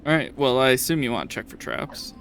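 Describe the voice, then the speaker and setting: A man improvising narration as game master of a tabletop game, halting with pauses mid-sentence. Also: medium pitch, sing-song, a bit bright, faint music behind.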